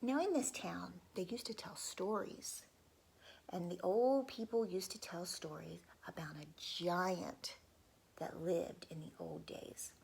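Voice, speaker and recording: Slow, expressive woman reading a children's story. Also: fairly low pitch, gravelly, husky. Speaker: teacher reading aloud. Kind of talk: children's read-aloud storytelling.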